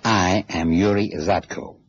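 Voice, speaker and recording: A crisp, low-voiced operation chief. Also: male voice, fairly even intonation, measured pace, highs cut off. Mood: brisk. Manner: crisp, instructive, polite. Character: brisk male operation organizer briefing agents. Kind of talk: 1960s radio drama acting. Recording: slightly hissy old radio recording.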